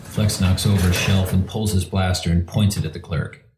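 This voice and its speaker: A man's voice: low voice, level tone, normal speed, background noise. A man reading scripted scene directions as the narrator of an audio drama, with a roomy echo.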